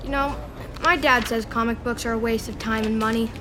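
High-voiced, curious thirteen-year-old boy played by a woman. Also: steady tone, fairly quick, noisy background, lightly acted. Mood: curious, surprised. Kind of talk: audio drama acting with narrated thoughts.

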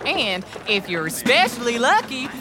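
A woman's voice: on the high side, sing-song, moderate pace, background noise. A woman voicing a showy frontier-town barker who announces a traveling medicine show in a radio drama. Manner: grand, showman-like delivery. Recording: music behind the voice.

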